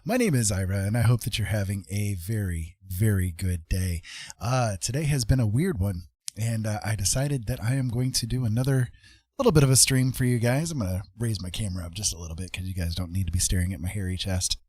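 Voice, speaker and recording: Low husky man, livestream chat. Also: brisk, a little mellow, dry recording. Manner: rambling, playful. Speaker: livestream host. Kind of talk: casual chat to live viewers.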